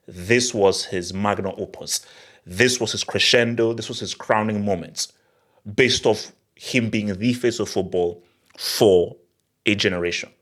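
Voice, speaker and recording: A man hosting a football channel, talking to the audience in an emphatic, theatrical way and repeating phrases for effect. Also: lowish voice. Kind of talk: animated solo football commentary to camera.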